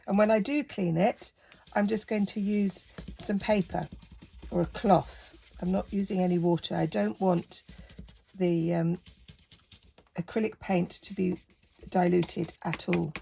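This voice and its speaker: A woman with a croaky voice, chatting casually through a painting tutorial and gently encouraging the viewer. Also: on the low side. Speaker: art tutor.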